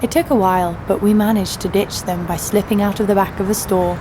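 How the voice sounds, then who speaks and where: A woman's voice: mid-range voice, fairly even intonation, a little fast, background noise, lightly acted. An actress playing a scheming, foul-mouthed young female criminal who narrates an audio drama with sarcastic irritation. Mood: wry storytelling. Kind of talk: first-person audio drama narration and dialogue.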